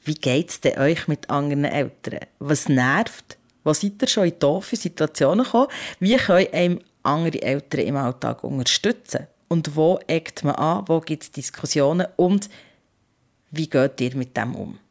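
A woman's voice: low voice, expressive, normal speed. A woman with a Swiss German accent hosting a podcast about parenting in a candid, colloquial, conversational way.

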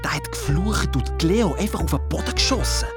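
A woman's voice: fairly low pitch, expressive, brisk, natural voice. A woman playing Luiz, a grumbling, defensive boy detective, chiming in with a Swiss German accent in a children's radio play. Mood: aggrieved. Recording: music playing underneath.

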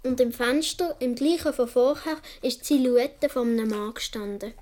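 A woman's voice: on the high side, normal speed, darkish tone, natural voice. A woman playing a young Swiss boy who tells his childhood adventures vividly in a radio play, with a Swiss German accent. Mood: storytelling. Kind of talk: first-person radio play narration.

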